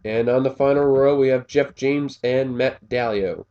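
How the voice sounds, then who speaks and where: A man calls a race in a casual play-by-play, his voice a little scratchy and pitched in the middle range. He rambles, drops fillers and corrects himself as he goes, recorded on a muffled, lo-fi home mic. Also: steady tone, moderate pace, warm tone. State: slightly scratchy voice. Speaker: race commentator. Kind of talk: play-by-play commentary of a simulated race.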